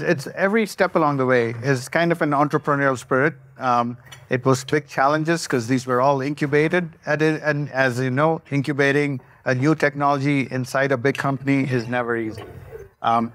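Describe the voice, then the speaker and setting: Middle-aged man, medium-pitched panel speaker. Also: a bit bright. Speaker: panelist, technology executive. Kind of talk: unscripted panel introduction. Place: on stage at a conference panel in a curtained room.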